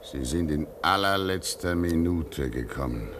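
An actor playing a pious, weary old man at a polar station in a German radio play, speaking solemnly and deliberately. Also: deep voice, lively intonation, a bit bright, a little hiss or hum. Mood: matter-of-fact. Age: older.

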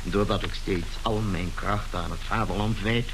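A man's voice: sits low, fairly even intonation, normal speed. An actor playing a pompous, impatient middle-aged businessman father in a radio play, speaking officiously as if chairing a board meeting. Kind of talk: Dutch radio play acting. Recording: noisy old radio drama recording.

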